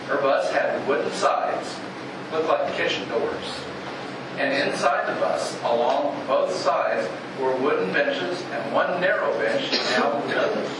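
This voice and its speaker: An older man reading a prepared script aloud as a narrator at a public talk. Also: mid-range voice. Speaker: speaker reading a script at a historical society event. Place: echoey space, away from the microphone. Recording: echoey, distant microphone, clear background noise.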